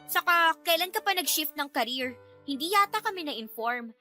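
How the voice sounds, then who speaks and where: Feisty, high-pitched young woman. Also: expressive, moderate pace, pushed and bright. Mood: indignant. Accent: Filipino. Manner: indignant, sassy retorts. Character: feisty, easily offended young woman, defensive party guest. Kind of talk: Filipino radio drama acting. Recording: music bed under the voice.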